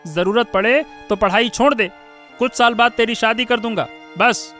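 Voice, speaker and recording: A man's voice: very high voice, moderate pace, bright, forceful tone, faint music behind. A man voicing a harsh, dismissive village father in a cartoon story, blunt and scolding. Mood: dismissive, irritated. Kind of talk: Hindi cartoon story voice acting.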